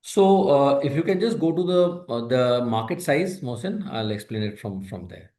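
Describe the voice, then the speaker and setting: A man with an Indian accent answers investor questions as a company executive, explaining in a halting, hesitant way with broken phrases. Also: medium pitch, animated, warm tone. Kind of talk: earnings call answers, explanatory. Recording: roomy echo on a conference call.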